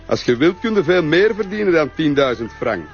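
An actor playing a sly, flattering Flemish photographer who coaxes in a TV crime drama. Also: male voice, on the high side, a little fast. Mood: persuasive, sly. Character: smooth-talking, flattering male photographer, sly and coaxing. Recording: dull TV soundtrack, music behind.

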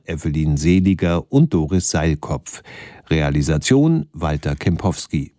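A man's voice: deep voice, dark tone, no echo, studio quality. A man reading a scripted announcement as a radio presenter. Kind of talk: scripted broadcast credits announcement.

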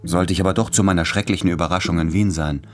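An actor playing an anguished, self-doubting man in his late thirties, speaking in measured, introspective literary monologue in a radio drama. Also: low voice, steady tone, faint music behind, lightly acted. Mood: reluctant, wry.

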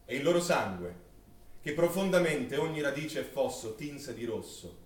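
A male actor playing a grave, anguished witness who narrates a wartime massacre in solemn, declamatory stage recitation with deliberate pauses. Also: mid-range voice, lively intonation, slight background noise, close to an everyday voice. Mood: solemn mourning. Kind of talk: dramatic theatrical recitation of wartime testimony. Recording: very echoey, distant stage recording.

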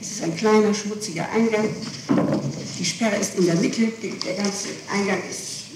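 A woman answering questions in an interrogation, haltingly and insistently, often repeating and correcting herself over small details. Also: medium pitch, fairly even intonation. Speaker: person under police questioning. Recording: echoey, distant, noisy old tape.

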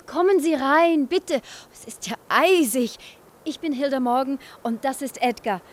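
A woman's voice: sits high, unhurried. A woman playing an eager, effusive hostess welcoming visitors in a radio drama. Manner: effusive, urging.

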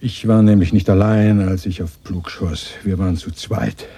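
An actor in a radio drama playing a bitter, probing former resistance fighter with a sardonic, interrogating manner. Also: male voice, sits low, steady tone, normal speed, mellow, husky. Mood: grave, insistent. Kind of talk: 1980s German radio drama dialogue.

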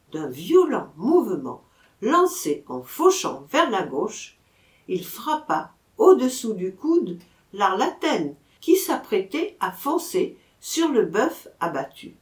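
A woman narrating a literary story as an audiobook reader, with deliberate, dramatic phrasing. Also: medium pitch. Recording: roomy, echoing room sound.

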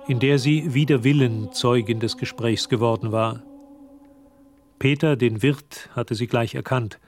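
A man's voice: mid-range voice, dry recording. Dry studio-recorded male narrator. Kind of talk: scripted literary narration for radio drama. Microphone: close, dry studio microphone.

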